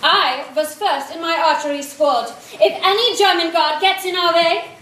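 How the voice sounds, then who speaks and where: A woman's voice: very high voice, moderate pace, pushed and bright. A woman playing a devoted, plucky young German fiancée in a theatrical, declamatory stage style. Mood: resolute. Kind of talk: stage play acting. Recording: echoey stage recording, faint noise.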